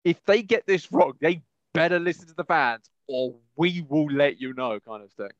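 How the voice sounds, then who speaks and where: A man with a slightly high voice chats casually on a podcast. He repeats himself for emphasis and voices imagined reactions. The recording is dull and lo-fi. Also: expressive, moderate pace, no room sound. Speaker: podcast co-host. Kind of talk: casual chat.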